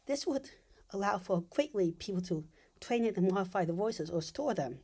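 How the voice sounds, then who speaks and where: A woman's voice: mid-range voice, animated, normal speed, darkish tone, breathy edge. A woman giving an informal explanatory monologue, thinking aloud as she explains technical ideas step by step. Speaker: video essayist.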